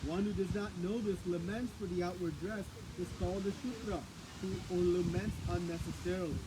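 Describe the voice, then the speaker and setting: High-voiced man teaching outdoors in wind. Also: fairly even intonation, a little fast. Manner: conversational, asks the audience questions. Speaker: spiritual teacher. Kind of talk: scripture class. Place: outdoors, windy. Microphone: battery microphone, cutting in and out. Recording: wind and outdoor noise, loud.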